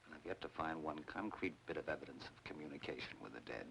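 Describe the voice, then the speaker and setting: An actor playing a seasoned, cynical private detective, wry and matter-of-fact in a mid-century noir manner. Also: male voice, a bass voice, fast talker, creaky, fairly bright tone, slight background noise, full character voice, rough recording.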